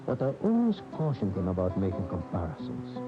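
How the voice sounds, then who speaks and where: An actor playing an aging, admiring companion of a matador, reflective and theatrical in a radio drama. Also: male voice, deep voice, wildly swooping pitch, a little fast, vocal fry, full character voice. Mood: reverent, reflective. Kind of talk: radio drama monologue and dialogue. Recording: muffled AM radio broadcast, music, noise.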